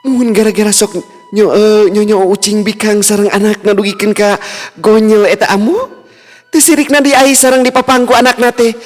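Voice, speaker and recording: A man's voice: high-pitched, flat delivery, moderate pace, voice pushed up, natural voice. A man voicing an elderly village mother who gossips and scolds. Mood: gossipy. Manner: chatty, scolding. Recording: reverb, faint music, distant.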